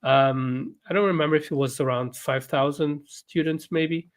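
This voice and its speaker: A man's voice: fairly high pitch, fairly even intonation, normal speed. A man whose first language is Portuguese, co-hosting a casual livestream and talking hesitantly with many fillers. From Portuguese-speaking country. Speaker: co-host, runs online academy.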